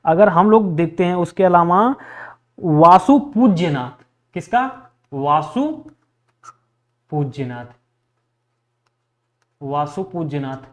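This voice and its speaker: Male exam teacher, high-pitched, repetitive question-and-answer drilling in a lecture style. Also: slow, long pauses. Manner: repetitive, asks questions and answers them himself. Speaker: history teacher for exam preparation. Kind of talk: classroom lecture drilling facts for exams.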